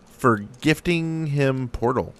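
A man's voice: medium pitch, slow, a bit bright, smooth, no room sound. A man hosting a podcast in casual, joking chat. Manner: joking, playful. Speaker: podcast host. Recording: music playing behind the voice.

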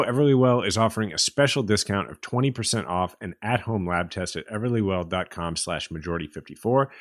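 A man's voice: on the low side, no room sound, studio quality. A man co-hosting a podcast in an emphatic, colloquial style that suits both chat and host-read ads.

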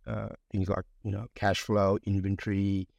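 A man in his forties from Fiji with a low, gravelly voice. He talks in a relaxed, reflective way, leaning on fillers as he goes. The sound is dry and close. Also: moderate pace, dry recording, muffled recording. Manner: relaxed, reflective, many "you know" fillers. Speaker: guest pharmacist. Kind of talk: conversational interview.

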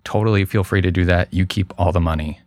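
A man's voice: deep voice, quick pace, a little mellow, no room sound, clean studio sound. A man as an interview guest, explaining his production work casually and thoughtfully, often repeating words as he goes.

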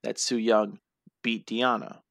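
A man's voice: slow pace, gravelly, dry recording. Ranting man on a dry mic. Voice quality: says he has a high voice. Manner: blunt, profane, animated ranting. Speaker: podcast co-host. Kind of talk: casual chat.

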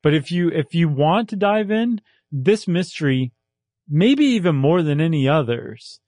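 A man's voice: fairly high pitch, expressive, unhurried, darkish tone, no echo, studio quality. A man co-hosting a podcast, musing in casual chat and rambling through restarts as he wraps up a topic. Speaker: podcast co-host. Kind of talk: casual chat, wrapping up an episode.

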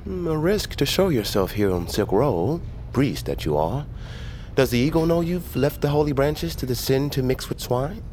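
A man's voice: medium pitch, lively intonation, moderate pace, darkish tone, slight background noise, natural voice. A man playing a wary tradesman turned conspirator in a fantasy audio drama, speaking in dry, courtly, archaic phrases. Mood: dryly challenging.

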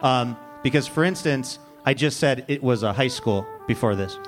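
A man's voice: mid-range voice, moderate pace, faint music behind. A man hosting a live comedy show, breaking in with incredulous, teasing questions. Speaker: host. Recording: live theater with audience.

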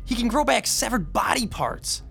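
A man's voice: extremely high pitch, expressive, moderate pace, gravelly, fairly bright tone, soft background music, heavily acted. A man playing an argumentative, pedantic, indignant comic book fanboy in a radio drama. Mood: indignant debating.

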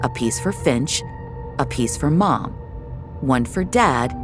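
A woman with a very deep voice narrates a dramatic piece of audio fiction. Her delivery is lively in pitch, and music plays clearly behind her. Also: slow.

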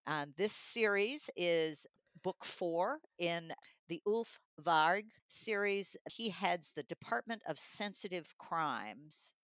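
A woman's voice: fairly low pitch, expressive, slow pace, fairly bright tone, no room sound. A middle-aged or older woman giving a conversational book review. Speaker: magazine publisher and audiobook reviewer.

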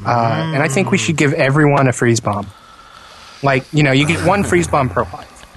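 A man co-hosting a podcast, chatting and joking in a mock-serious deadpan. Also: medium pitch, moderate pace, a crowd in the background, rough recording. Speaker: podcast co-host reading listener questions. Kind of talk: casual joking chat.

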